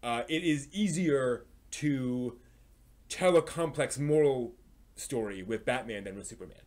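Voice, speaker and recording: Male live stream host, mid-pitched, conversational, halting delivery as he works through his thoughts and commentary. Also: darkish tone.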